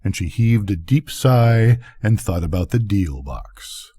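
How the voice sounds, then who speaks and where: Bedtime story reader: older male, soft-edged mellow tone with some husk, lively delivery. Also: fairly low pitch, slow pace, breathy edge. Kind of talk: expressive bedtime story narration.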